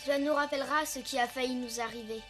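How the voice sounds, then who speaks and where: A woman's voice: high-pitched, steady tone, fast. A woman voicing an earnest young boy elephant who rallies others in a French cartoon. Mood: resolute. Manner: earnest, rallying, declarative. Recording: loud music behind the voice.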